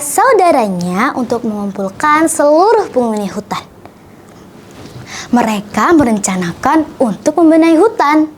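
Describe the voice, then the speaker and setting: A young female storyteller, reciting a children's tale in an expressive, deliberate, theatrical way. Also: high voice, slight background noise.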